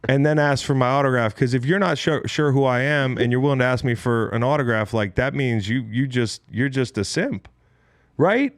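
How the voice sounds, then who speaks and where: A man's voice: mid-range voice, lively intonation, normal speed, no echo. An American man around forty, bantering bluntly on a casual sports podcast as host, swearing freely as he tells stories.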